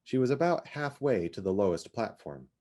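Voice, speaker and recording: A man with a fairly low voice reads a piece of literary fiction aloud. He narrates in the first person, as a dramatic reading of prose. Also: very animated, moderate pace, a little mellow. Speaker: reader of fiction.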